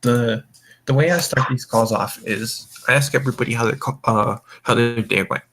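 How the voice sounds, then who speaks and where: A man's voice: mid-range voice, fairly even intonation, normal speed, a bit bright, breathy edge, faint music behind, poor audio. A young man from the American Mountain Time zone hosting a group voice call, tipsy, rambling and swearing, stumbling over his words. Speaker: voice call host. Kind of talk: casual group voice chat.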